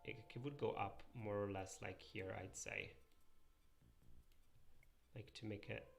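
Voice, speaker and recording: Gravelly Italian man chatting while drawing. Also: lowish voice, fairly even intonation, a little slow, faint music behind. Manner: rambling, thinks aloud, reads and answers chat. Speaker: streamer drawing pixel art. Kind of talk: casual live-stream chat while drawing.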